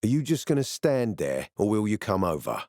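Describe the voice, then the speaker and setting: An actor playing a tough, suspicious peacekeeper commander in a video game, terse, sardonic and threatening. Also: male voice, on the low side, sing-song, moderate pace, darkish tone, no room sound, clean studio sound. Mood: guarded warning. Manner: terse, menacing, sardonic, profane. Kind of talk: video game character dialogue.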